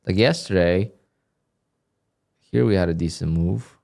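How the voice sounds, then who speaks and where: A man doing live commentary on his trading session, talking to viewers in a flat, dry way that sounds a bit annoyed even when he is content. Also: low-pitched, measured pace, vocal fry. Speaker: day trader livestreamer. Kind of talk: live stream commentary, reading donations.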